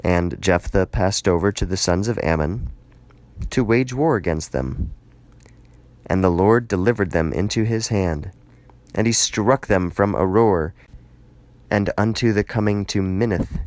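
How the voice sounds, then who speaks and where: A man narrating scripture aloud in a measured, dramatic reading style, phrasing deliberately. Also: low-pitched, darkish tone, dry recording.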